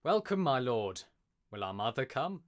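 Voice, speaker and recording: Petulant young prince, medium pitch man acting. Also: sing-song, moderate pace, natural voice. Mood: eager. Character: youthful prince, weary, petulant and outspoken. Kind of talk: Shakespearean audio drama acting.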